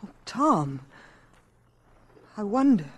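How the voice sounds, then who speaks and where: An actress playing a concerned, gently skeptical mother in a radio drama, soothing yet firm and polished. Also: medium pitch, very animated, slow, over loud music. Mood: uneasy.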